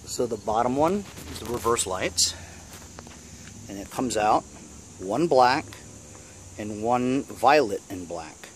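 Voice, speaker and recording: A man hosting a repair vlog, talking casually through hands-on work in a halting, thinking-aloud way with frequent "you know" tags. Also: medium pitch, expressive, a little hiss or hum, low-quality recording. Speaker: host of a DIY repair vlog. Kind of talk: casual hands-on tutorial narration.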